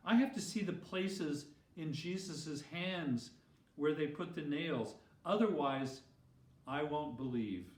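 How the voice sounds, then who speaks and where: A man's voice: on the high side, takes it slowly, a little mellow. A man voicing an earnest, curious, doubting male disciple in a children's Bible story, with emphatic questioning delivery. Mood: doubtful, insistent. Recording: roomy echo, distant microphone.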